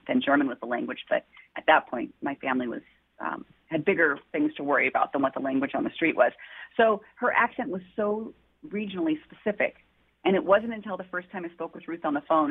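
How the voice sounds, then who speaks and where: Husky woman author on a phone line. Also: sits low. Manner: earnest, heartfelt storytelling. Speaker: author guest. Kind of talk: reflective interview answers. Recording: tinny phone-line audio.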